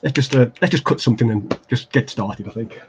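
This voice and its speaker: A man's voice: medium pitch, fairly quick, muffled recording, background noise. Rambling man with a Midlands accent. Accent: English Midlands. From English Midlands. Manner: rambling, self-deprecating, many fillers and restarts. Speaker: livestream host building tabletop terrain. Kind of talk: casual livestream chat while crafting. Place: home craft desk, indoors.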